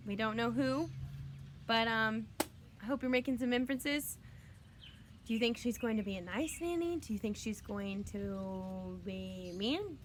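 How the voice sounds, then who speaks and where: High-voiced woman teacher reading aloud. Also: slight background noise. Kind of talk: read-aloud lesson for children.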